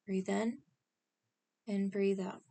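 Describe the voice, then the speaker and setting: A woman leading a scripted guided meditation in a calm, instructional manner, speaking in short, spaced phrases. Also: mid-range voice, slow, creaky.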